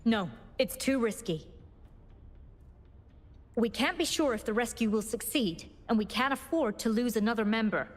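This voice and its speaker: A woman's voice: a little high, expressive, moderate pace, long pauses. An actress playing an earnest, commanding woman scientist leading a research team in a video game, speaking articulately. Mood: grave, worried. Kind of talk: scripted video game voice acting.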